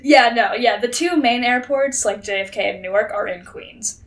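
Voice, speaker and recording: High-voiced, blunt, sarcastic woman. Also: normal speed. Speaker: podcast co-host. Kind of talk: casual comedic banter.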